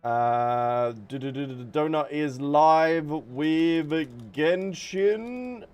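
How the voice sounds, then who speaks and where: A man with a fairly high voice streams live over background music. He talks at an unhurried, drawn-out pace, chatting informally with his viewers as the host. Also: darkish tone, clear, resonant tone. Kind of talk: casual livestream chat with viewers.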